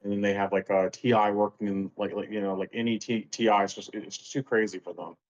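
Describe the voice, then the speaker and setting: A man's voice: fairly low pitch, level tone, fast talker. A man chatting casually in a rambling, slangy way over a dull, lo-fi voice call. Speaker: guest being personality-typed. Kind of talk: casual chat.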